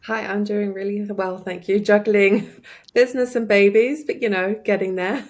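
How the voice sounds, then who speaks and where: A woman's voice: mid-range voice, steady tone, moderate pace. A woman in her thirties with an English accent, a guest on an interview podcast telling her story in an upbeat, chatty way and laughing often. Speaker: guest, life coach. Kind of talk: casual interview chat.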